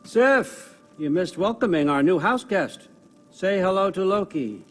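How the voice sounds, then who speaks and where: A man's voice: high voice, expressive, unhurried. An actor playing a sly, powerful older god-king in a video game, offhand and commanding. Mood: brisk. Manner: offhand, wry, talking like a busy boss. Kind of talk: naturalistic video game cutscene acting. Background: background music.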